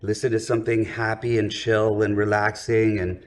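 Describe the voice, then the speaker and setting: Laid-back man in his forties, low voice. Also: flat delivery, moderate pace, darkish tone. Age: 40s. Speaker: guest DJ. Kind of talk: casual chat.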